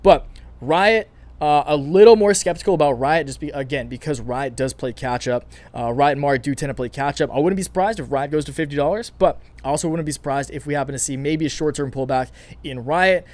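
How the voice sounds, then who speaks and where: A man giving casual, energetic stock and crypto commentary as a channel host, his voice a little raspy and his delivery quick and chatty. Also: a little high. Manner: fast, energetic, chatty, many fillers and restarts. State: slightly raspy from recording all day. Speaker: finance YouTuber. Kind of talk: casual market commentary to camera.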